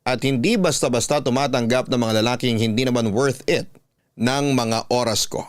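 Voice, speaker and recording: A man narrates a scripted love story as a radio storyteller. His voice sits at a medium pitch, recorded dry with no room sound. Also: normal speed, dry recording. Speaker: radio storyteller reading listeners' letters.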